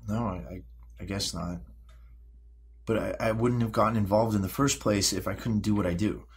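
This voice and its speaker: An actor playing a troubled, volatile sixteen-year-old boy in an audio drama, speaking in halting, defensive, sweary bursts. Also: fairly low pitch, fairly even intonation, creaky, breathy edge. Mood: reluctant, sullen. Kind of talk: naturalistic audio drama acting.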